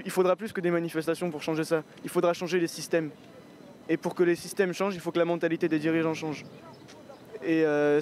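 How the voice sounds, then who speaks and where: A man's voice: high voice, darkish tone, a little hiss or hum. A young man in his twenties giving a thoughtful, hesitant street interview with many fillers, speaking into a handheld mic outdoors in a city square. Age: 20s. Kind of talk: street interview, reflective opinion.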